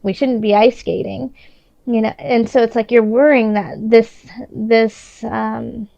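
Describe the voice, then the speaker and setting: A woman's voice: a little high, fairly even intonation, unhurried, gravelly, mellow, no room sound, muffled recording. A woman giving a casual, improvised tarot reading in a conversational, reassuring way, often restarting her thoughts. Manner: reassuring, encouraging. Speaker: tarot reader.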